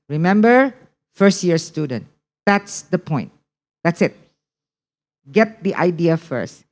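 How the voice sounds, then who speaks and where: A woman's voice: mid-range voice, darkish tone. A woman with an Indonesian accent teaching a test preparation class online, repeating key points and checking in with the class. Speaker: English test prep teacher.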